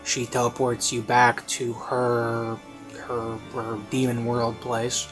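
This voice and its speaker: A man's voice: mid-range voice, monotone, slow pace. Male reviewer, mid-range voice, loose and rambling, rephrases as he goes, music bed underneath. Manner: hesitant, conversational, often rephrasing mid-thought. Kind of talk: casual, rambling review commentary. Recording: classical music playing behind. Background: baroque classical music.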